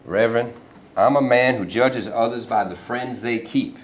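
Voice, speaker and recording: A man playing a pompous, scheming middle-aged governor in a staged reading, with a smooth, condescending manner. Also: medium pitch, moderate pace, slight background noise, close to an everyday voice. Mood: smug. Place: performance hall. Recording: roomy hall from a distant mic.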